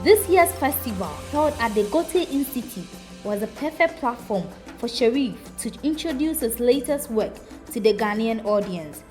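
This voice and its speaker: A woman's voice: on the high side, darkish tone. A woman reporter reading a scripted recap in a polished, upbeat way. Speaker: reporter narrator. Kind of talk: scripted narration. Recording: music under voice-over. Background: music bed.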